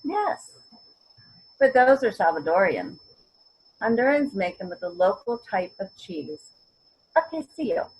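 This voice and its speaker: A woman playing a warm, idealistic mother in her eighties in a readers theater scene. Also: mid-range voice, sing-song, unhurried, long pauses. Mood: warm, hopeful. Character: warm, idealistic eighty-three-year-old mother taking up a humanitarian mission. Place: at home on a video call. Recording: dull, noisy home video call.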